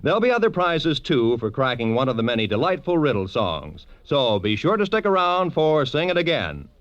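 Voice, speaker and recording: A man's voice: medium pitch, very animated, normal speed, a bit bright. An American man announcing for network radio in a polished, emphatic 1940s promotional style. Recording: dull vintage 1940s radio broadcast.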